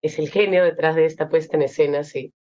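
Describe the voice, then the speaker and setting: A young Peruvian woman with a Peruvian Spanish accent, an actress being interviewed, speaking thoughtfully with many pauses and fillers. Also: low voice, steady tone, warm tone, lo-fi sound. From Peru. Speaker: actress guest. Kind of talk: interview conversation.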